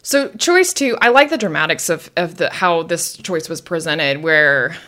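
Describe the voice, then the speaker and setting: A woman co-hosting a show, recapping and commenting casually, with wry, animated retelling and questioning asides. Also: sits low, measured pace. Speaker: podcast co-host.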